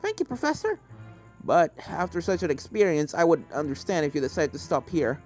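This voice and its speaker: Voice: mid-range voice, very animated, brisk, darkish tone, faint music behind, close to an everyday voice. A narrator voicing a brave, earnest and anxious young country filly in a read-aloud story. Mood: hesitant, polite.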